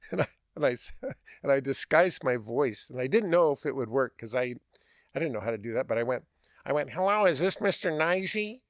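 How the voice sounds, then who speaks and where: A man's voice: medium pitch, normal speed, long pauses, no room sound, clean studio sound. A man hosting a podcast, telling a casual anecdote with emphatic repetition and an amused manner. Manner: chuckles while talking, repeats phrases for emphasis. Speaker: podcast host.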